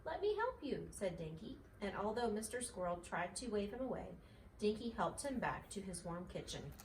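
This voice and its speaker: Mom reading a kids story out loud, really animated, echoey room, bit of hiss. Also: female voice, medium pitch, moderate pace. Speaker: mother reading a storybook aloud. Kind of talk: expressive children's story narration. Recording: roomy, distant mic, faint noise.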